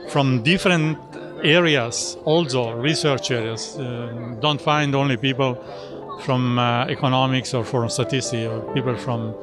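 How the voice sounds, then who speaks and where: Halting man over loud music. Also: medium pitch. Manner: halting, searching for words with many restarts. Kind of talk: interview answers. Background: loud background music.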